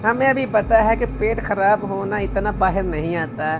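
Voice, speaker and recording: A man's voice: high voice, moderate pace. A man voicing a mocking, insinuating village gossip in a cartoon story. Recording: music behind the voice.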